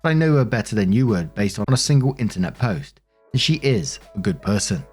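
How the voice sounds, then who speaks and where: A man's voice: fairly low pitch, lively intonation, brisk, a little mellow, husky. A man hosting a story channel, reading posts aloud and adding conversational, opinionated commentary. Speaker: host narrating online relationship stories. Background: music.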